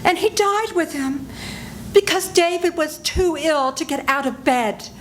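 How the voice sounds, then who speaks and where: A woman's voice: extremely high pitch, a little slow, a little hiss or hum, low-quality recording. A woman playing a frightened widow in a live old-time radio drama, speaking anxiously and on the edge of tears. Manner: anxious, tearful, pleading. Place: senior center stage.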